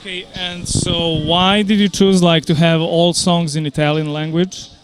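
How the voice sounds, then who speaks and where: A man's voice: a little high, level tone, a little slow. A man interviewing a musician, asking questions loosely with many fillers.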